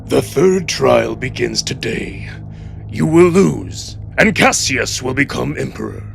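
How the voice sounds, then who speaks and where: A man voicing a commanding, ruthless older male wolf official in a dramatic story, with a gravelly growl and an imperious way of giving orders. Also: mid-range voice, expressive, slow, fairly bright tone, full character voice. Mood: cold, threatening. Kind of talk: dramatic audiobook-style character acting. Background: music.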